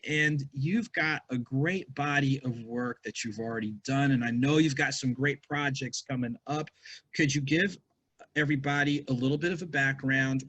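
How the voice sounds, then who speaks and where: Man hosting a talk show, husky voice, upbeat and chatty. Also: medium pitch. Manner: upbeat, warm greetings, rambling questions. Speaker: host. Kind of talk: casual interview hosting. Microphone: video call.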